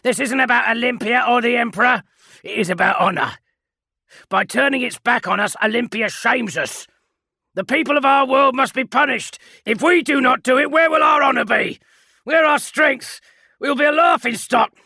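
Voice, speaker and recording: A man voicing a tense, bloodthirsty space marine who shouts and relishes cruelty. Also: very high-pitched, moderate pace, gravelly, dry recording, studio quality, pitched above the performer's own voice, heavily acted. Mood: shouting.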